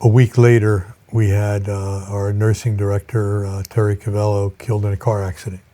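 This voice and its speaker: An older man speaking as a college president in an interview, reflective and measured, with halting pauses. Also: lowish voice, little pitch movement, mellow.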